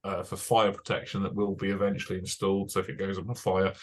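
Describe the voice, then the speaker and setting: A man's voice: deep voice, monotone, fast talker, slight background noise. A young British man giving an informal technical talk to a radio club as a broadcast engineer, chatty and self-correcting with many fillers. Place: club meeting room.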